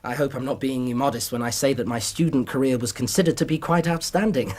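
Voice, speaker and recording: An actor playing a polite, modest young English doctor in a period radio drama, speaking with a courteous, hesitant and precise manner. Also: male voice, medium pitch, quick pace, darkish tone, close to an everyday voice. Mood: earnest, confiding. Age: young adult. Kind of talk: period radio drama acting, narrating his story.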